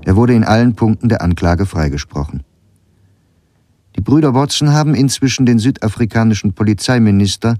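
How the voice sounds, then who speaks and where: A man's voice: fairly low pitch, dark tone, dry recording, clean studio sound. A man reading scripted documentary narration in German radio style, sober and factual.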